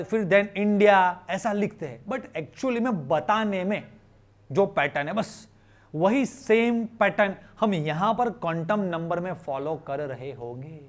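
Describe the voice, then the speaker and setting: A male teacher with an Indian accent and a notably high voice explains a lesson to students. He is informal and conversational, checking in with questions, repeating phrases and slipping in bits of English. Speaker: chemistry teacher.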